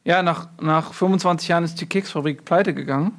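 A man playing a muddled, rambling fortune cookie writer in a deadpan comedy interview. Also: on the high side, fairly quick, close to an everyday voice. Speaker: mock interview guest. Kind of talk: improvised comedy sketch.

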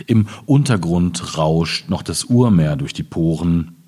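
Low, husky man reading slowly. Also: expressive, mellow. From Ruhr region, Germany. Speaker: poet reading at a literary event. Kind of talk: poetry reading with informal commentary.

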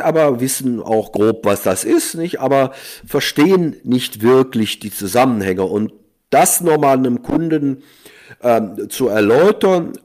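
Male podcast guest, consultant, measured and unhurried, mid-pitched. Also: darkish tone. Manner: slow, deliberate explanations with fillers. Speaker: guest, online marketing consultant. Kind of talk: explaining in a podcast interview.

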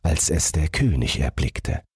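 A man's voice: extremely low pitch, very animated, measured pace, a little mellow, husky, dry recording, studio quality. A man narrating a scripted fairy tale as a bedtime audiobook.

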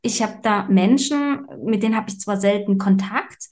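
A woman's voice: medium pitch, moderate pace, a little mellow. Encouraging, colloquial woman chatting on a podcast. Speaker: podcast co-host. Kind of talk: casual conversational podcast chat.

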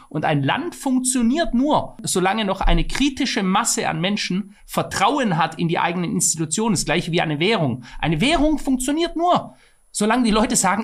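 A man co-hosting a podcast, talking in an emphatic, argumentative way, his voice climbing when he stresses a point. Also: sits high. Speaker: podcast co-host. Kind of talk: casual conversational podcast.

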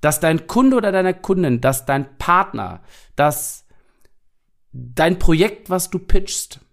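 A man's voice: sits high, slow, creaky. Young male podcast host, upbeat motivational monologue, still a bit run down from being sick, speaks straight to the listener. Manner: energetic, motivational, addresses listener directly. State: recovering from illness.

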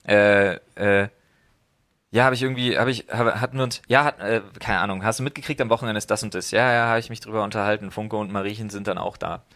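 A man's voice: on the low side, steady tone, fast, fairly bright tone. A man co-hosting a casual podcast chat, talking bluntly with some swearing and acting out the things people say to him. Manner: blunt, swears freely, acts out quoted exchanges. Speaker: podcast co-host.

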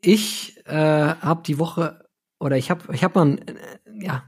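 A male podcast co-host chats loosely and slangily with friends. His husky voice is pitched somewhat higher than most men's. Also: moderate pace. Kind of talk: casual chat among friends.

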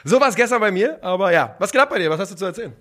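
A chatty man co-hosts a relaxed football podcast. His voice sits a little high for a man. He talks in quick asides and laughs easily. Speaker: podcast co-host. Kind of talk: casual football podcast chat.

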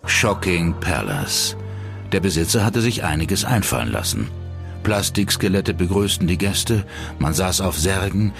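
A very low, gravelly man's voice narrates a horror audio drama. He sounds ominous and scripted, with music clearly playing behind him. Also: exaggerated voice. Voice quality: deep, gravelly.

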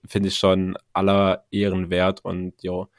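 Male podcast co-host, notably low register, dry close sound, casual slangy music chat. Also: monotone, measured pace, clear, resonant tone, dry recording. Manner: slangy, colloquial German youth speech.

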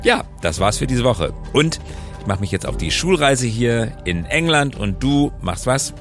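A man's voice: mid-range voice, very animated, measured pace, faint music behind. A man hosting a podcast in a conversational, reflective way, explaining points with emphatic phrasing. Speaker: podcast host.

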